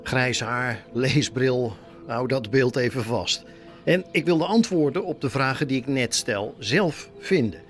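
Podcast host, male, 50s, low-to-middle everyday pitch, scripted personal narration, music underneath. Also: unhurried.

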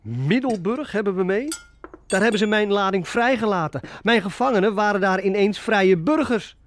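A man's voice: sits high, animated, moderate pace. An actor playing a wary slave-ship trader in a historical radio play, formal and skeptical before his prince. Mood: indignant. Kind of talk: audio drama acting.

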